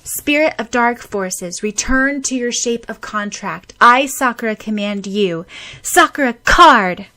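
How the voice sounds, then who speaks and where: A woman voicing a determined young girl heroine, often in breathy whispered inner thoughts. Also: fairly high pitch, slow, long pauses, bright, forceful tone, pitched above the performer's own voice, heavily acted. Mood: commanding.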